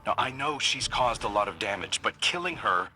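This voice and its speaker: An actor playing a resolute, principled commander giving earnest mission briefings in a video game. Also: male voice, fairly low pitch, expressive, moderate pace, pushed and bright, slight background noise, poor audio. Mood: focused, measured.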